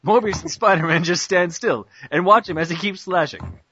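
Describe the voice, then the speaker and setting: A man's voice: sits high, normal speed, a bit bright, slight background noise. A young man giving an amateur mock-dramatic narration of a cartoon parody, hammy and stumbling over words, on a muffled internet call. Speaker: narrator in a group script reading.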